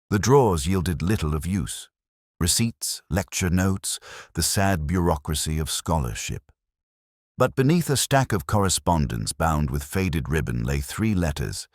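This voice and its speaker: A man playing a melancholy, reflective police inspector, narrating his case in a measured, literary way like an audio drama. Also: low voice, no room sound, clean studio sound, heavily acted. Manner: measured, literary, brooding delivery. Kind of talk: scripted first-person detective audio drama narration.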